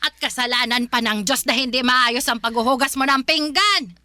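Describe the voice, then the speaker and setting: A woman's voice: high-pitched, normal speed, bright, forceful tone, no echo. A woman playing a harsh, strict, religious old grandmother who nags and preaches in a radio drama. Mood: scolding. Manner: scolding, nagging, preachy.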